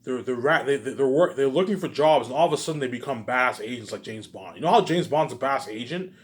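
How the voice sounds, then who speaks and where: A man's voice: mid-range voice, lively intonation, fast. A young adult man giving a rambling, casual monologue in a brash, boastful, repetitive way, with some swearing. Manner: repetitive, tacks on stock words at phrase ends. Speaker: aspiring film maker.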